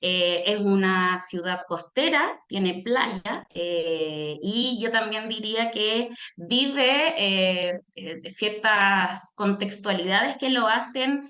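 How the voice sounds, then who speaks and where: A woman with a Chilean accent giving a personal talk in a reflective, explanatory way, often pausing on filler sounds as she thinks. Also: medium pitch, lively intonation, sharp tone, echoey room. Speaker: speaker, social worker giving a talk. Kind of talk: personal testimony in a presentation.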